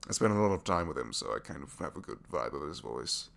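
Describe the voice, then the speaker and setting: A man playing a captured supervillain in an intimate ASMR roleplay, a gentle, bookish dreamer who speaks softly and hesitantly, often sinking toward a whisper. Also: rumbling low, expressive, fast, creaky, full character voice. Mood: amused. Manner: hesitant, soft-spoken, rambling, often dropping to whispers.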